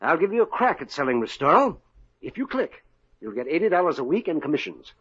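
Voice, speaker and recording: Cranky sarcastic boss, kinda high voice, swings all over, old muffled radio. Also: male voice, sing-song, fairly quick, fairly bright tone, slightly rough, full character voice. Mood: wheeling-dealing. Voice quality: sputtering, sarcastic. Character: grumpy middle-aged male company boss. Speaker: company owner. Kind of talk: 1950s radio comedy acting.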